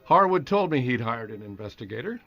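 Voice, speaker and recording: An actor playing a brisk, businesslike male doctor in a radio detective drama. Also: medium pitch, very animated, vocal fry, a bit bright, lo-fi sound, heavily acted. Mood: brisk, guarded.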